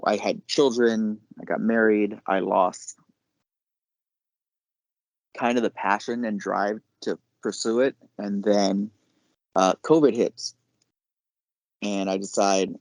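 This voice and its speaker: A man, probably middle-aged, talking casually as a podcast guest, explaining things in a halting, reflective way. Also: on the low side, a little mellow, dry recording. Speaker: podcast guest, chef and caterer. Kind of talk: casual interview chat.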